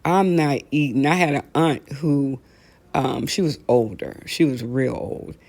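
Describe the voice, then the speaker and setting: A middle-aged American woman hosting a casual talk show, telling stories in a blunt, animated, colloquial way and repeating phrases for emphasis. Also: rumbling low, measured pace. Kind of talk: casual comedic rant with storytelling.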